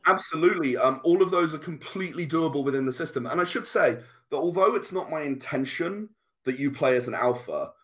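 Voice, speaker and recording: A man's voice: fairly high pitch, lively intonation, a little fast, a bit bright. A man speaking as a guest game designer in a casual interview, explaining in long, enthusiastic runs.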